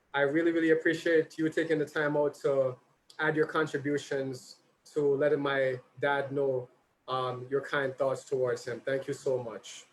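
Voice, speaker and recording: A man's voice: fairly high pitch, a little hiss or hum, poor audio. A man hosting a birthday tribute for his father, reading out messages with chatty, informal asides as his voice grows tired. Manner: informal, chatty, slight stumbles. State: tiring, slightly hoarse voice.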